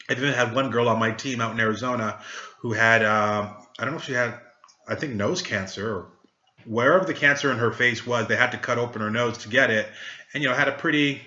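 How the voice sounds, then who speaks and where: Jokey, upbeat recruiter man from Trinidad; bright tone, echoey lo-fi room. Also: mid-range voice. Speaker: network marketing recruiter. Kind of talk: casual sales pitch to camera.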